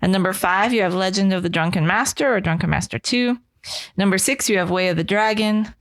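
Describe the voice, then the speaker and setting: A woman's voice: medium pitch, brisk. Matter-of-fact woman producer. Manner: steady, matter-of-fact list reading. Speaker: podcast producer. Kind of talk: reading a list on a podcast.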